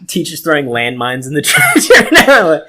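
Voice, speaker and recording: A man's voice: fairly high pitch, wildly swooping pitch, moderate pace, bright, forceful tone. A man co-hosting a podcast, chatting casually in a crude, teasing, slangy way full of profanity. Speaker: podcast co-host. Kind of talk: casual joking chat.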